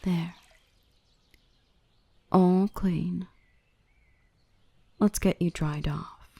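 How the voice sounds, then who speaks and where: Slow, hushed, tender dark elf woman, acted out. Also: on the low side, steady tone, gravelly, husky, no room sound, exaggerated voice. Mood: soothing, tender. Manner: soothing, reassuring, tender, often half-whispering. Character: gentle, nurturing, softly dominant dark elf woman. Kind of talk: intimate ASMR roleplay acting.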